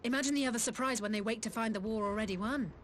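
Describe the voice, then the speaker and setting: A woman's voice: fairly high pitch, racing, heavy background noise, lightly acted. An actress playing a fierce, spirited female fighter in a fantasy video game, bold and emphatic. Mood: eager, bold. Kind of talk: dramatic video game voice acting.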